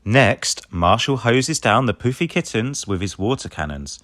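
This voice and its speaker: Animated man reading a children's story. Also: mid-range voice, unhurried, dry recording. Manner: expressive, acts out dialogue for children. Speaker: storybook reader. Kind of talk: scripted children's story narration read aloud.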